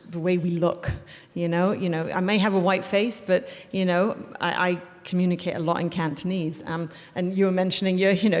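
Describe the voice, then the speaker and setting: A woman from Hong Kong speaking as a school principal on a panel, earnest and full of fillers. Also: fairly low pitch. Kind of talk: panel discussion on stage. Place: event hall before an audience. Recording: reverberant event hall.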